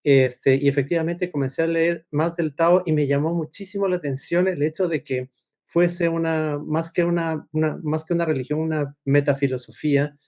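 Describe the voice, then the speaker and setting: A man's voice: fairly high pitch, fairly even intonation, normal speed, a little mellow. A middle-aged man, talking casually and discursively as a podcast guest, often checking in with little tag questions. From Ecuador. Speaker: podcast guest, agile consultant and coach. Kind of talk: casual conversational storytelling.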